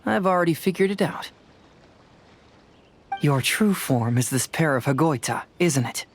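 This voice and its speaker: A man's voice: on the high side, animated, measured pace, slight background noise. A man voicing a clever, confident young detective in a video game, explaining things with assurance. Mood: assured, deducing.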